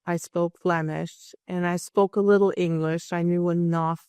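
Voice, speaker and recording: Native German speaker, woman in her fifties, podcast guest. Low-ish, clean, dry close-mic sound. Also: steady tone, a little slow, darkish tone, smooth, dry recording. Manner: chatty storyteller, self-deprecating. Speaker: guest, business owner. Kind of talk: casual interview chat.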